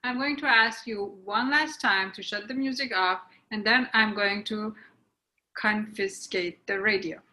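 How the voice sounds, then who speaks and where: A woman's voice: medium pitch, steady tone, a little slow, sharp tone, poor audio. A woman reading a stern ferry cop. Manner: firm, commanding orders. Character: stern police officer on ferry. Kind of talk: readers theatre script reading.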